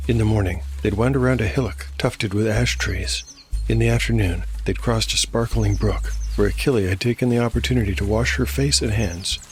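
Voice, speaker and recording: A man with a fairly low voice serves as narrator and game master of a fantasy tale. His delivery is scripted storytelling, with music clearly heard underneath. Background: music bed.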